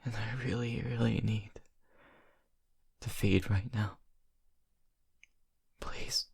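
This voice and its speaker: A man's voice: fairly low pitch, steady tone, slow, creaky, darkish tone, husky, heavily acted. A man playing a brooding young vampire confiding in his best friend in an ASMR roleplay, hesitant and intimate. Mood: hungry, whispered pleading.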